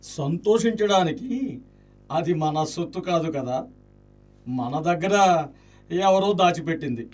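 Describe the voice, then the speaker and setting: An actor playing a talkative, jesting, loyal friend in an Indian radio play, with an exclamatory, theatrical delivery. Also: male voice, fairly high pitch, measured pace, darkish tone, distant mic. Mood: practical, consoling. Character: Maitreya, talkative jesting loyal male friend of a ruined nobleman.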